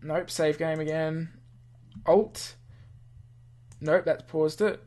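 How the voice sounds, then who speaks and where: A gamer reading a visual novel aloud with casual, easily amused commentary, thinking out loud. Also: medium pitch, steady tone, slow pace, slight background noise. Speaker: gamer, channel host. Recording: music under the voice.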